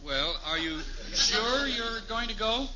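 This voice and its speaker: A man's voice: sits high, animated, takes it slowly. An actor playing a middle-aged father in a radio sitcom, wry and mildly exasperated with dry, hesitant asides. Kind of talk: 1950s radio sitcom acting. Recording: dull old radio recording, faint noise.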